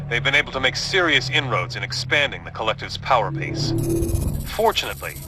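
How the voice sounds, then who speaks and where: An actor playing a grave, analytical male hero giving a measured mission briefing in a video game. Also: mid-range voice, close to an everyday voice. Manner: measured, serious. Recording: clear noise and ambience behind.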